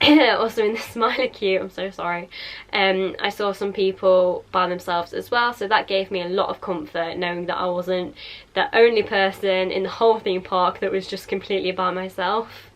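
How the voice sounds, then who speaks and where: A woman's voice: medium pitch, fairly even intonation, moderate pace. A young woman with a heavy cold, chatting casually as a vlogger and giving advice, rambling with lots of ums and likes.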